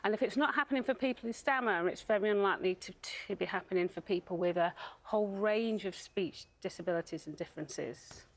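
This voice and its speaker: Woman, medium pitch, explaining stuff as a charity guest on tv. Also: a little fast, few pauses. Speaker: charity spokesperson, interview guest. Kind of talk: explanatory interview talk. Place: TV studio.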